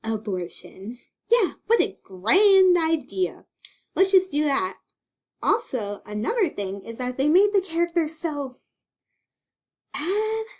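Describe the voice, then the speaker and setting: A woman talks casually and with exasperation, sharing opinions in slangy phrases. Her voice is high and lively in pitch, and she takes her time. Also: slow. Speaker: amateur reader and commentator.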